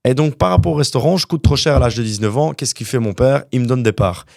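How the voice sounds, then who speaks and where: A young man from Canada, a guest entrepreneur telling his story in a casual interview, animated and full of fillers. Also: medium pitch, fast, gravelly, no room sound, clean studio sound. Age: young adult.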